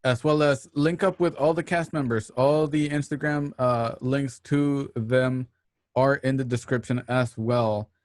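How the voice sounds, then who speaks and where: A man's voice: medium pitch, steady tone, unhurried, dry recording, clean studio sound. A man hosting an online radio drama show, casually giving thanks and announcements to listeners.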